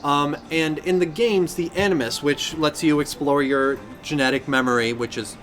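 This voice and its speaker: A man's voice: a little high, steady tone, normal speed, a bit bright. A man chatting enthusiastically and casually as co-host of a pop-culture podcast.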